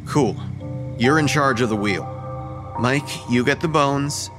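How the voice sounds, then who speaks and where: A young man playing a bossy, practical college student in an audio horror drama, brisk and sardonic. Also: medium pitch, over music, lightly acted. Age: young adult. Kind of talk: radio horror drama acting.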